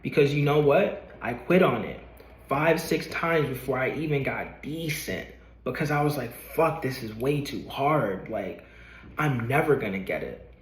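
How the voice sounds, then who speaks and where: Male vlogger, late twenties, mid-pitched, earnest motivational rambling with casual cursing, echoey room sound. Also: animated, measured pace. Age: 20s. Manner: earnest, rambling, swears casually, repeats himself. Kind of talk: motivational vlog talking to camera. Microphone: camera.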